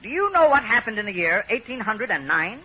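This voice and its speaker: Excitable radio quizmaster, American, very animated, exasperated but polite, dull vintage broadcast sound. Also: male voice, high-pitched, a little fast, slightly rough, heavily acted. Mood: brisk, official. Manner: formal announcer delivery, exasperated politeness. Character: excitable, flustered male quiz show host. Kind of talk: 1940s radio comedy acting. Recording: dull, noisy old radio recording.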